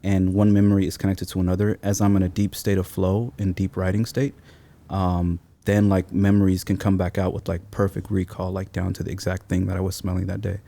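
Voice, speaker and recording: Casting: thirties male interview guest, very low and soft-toned, reflective, informal phrasing. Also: little pitch movement, a little fast, vocal fry. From Seattle, born in Somalia. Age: 30s. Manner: thoughtful, informal slangy phrasing. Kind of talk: reflective casual interview talk.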